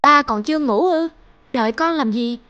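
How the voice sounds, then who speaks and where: A woman voicing a money-obsessed, dismissive young businessman, boastful and insistent, in an animated moral drama. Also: high voice, moderate pace, dry recording, muffled recording. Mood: defensive.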